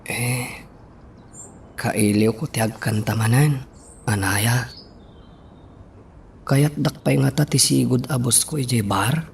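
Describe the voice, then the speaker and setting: A gentle, wistful man in a radio drama. The voice is gravelly and unhurried, at a middling pitch, with some background noise. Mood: wistful, subdued. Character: gentle, wistful man recovering his lost singing voice. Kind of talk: Ilocano radio drama acting.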